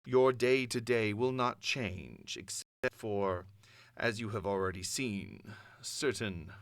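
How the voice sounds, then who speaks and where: A man playing an imperious, cold comic-book supervillain dictator, delivering a measured, grandiose address with pompous authority. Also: lowish voice, a bit bright, no room sound. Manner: measured, pompous, speaking of himself in third person. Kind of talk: dramatic comic-book villain monologue, broadcast address.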